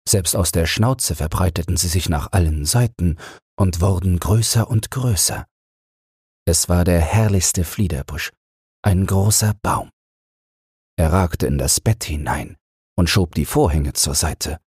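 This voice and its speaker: Very husky, deep-voiced male bedtime narrator. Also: no echo, clean studio sound. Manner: calm, soothing, measured. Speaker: audiobook narrator. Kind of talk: bedtime fairy tale narration.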